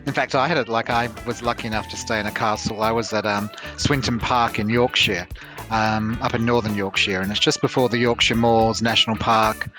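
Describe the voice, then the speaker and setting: Tourism guest, man, mixed English-Aussie-American accent, relaxed and upbeat. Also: on the low side, a little fast, music in the background. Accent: mixed English, Australian and American. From England. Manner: relaxed, upbeat, some hesitation.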